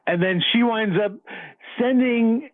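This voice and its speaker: A man's voice: very high voice, slow pace, no room sound. A man talking casually on the telephone in a hesitant, sheepish manner. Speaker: person called on radio show. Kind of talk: casual phone conversation. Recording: tinny, dry phone line.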